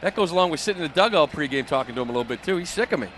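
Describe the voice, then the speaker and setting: A man's voice: a little high, expressive, very fast, a bit bright. A man giving informal, explanatory analysis during a live baseball radio broadcast. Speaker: color analyst. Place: stadium broadcast booth. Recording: faint stadium noise behind a broadcast.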